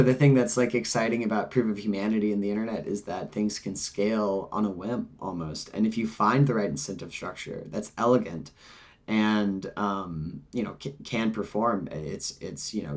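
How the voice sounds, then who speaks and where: A man's voice: fairly low pitch, normal speed, a little mellow. A man hosting a podcast, chatting casually with lots of "like" and "um" and laughing easily. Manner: easygoing, many fillers like "like" and "um", laughs easily. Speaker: podcast host. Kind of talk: casual interview talk.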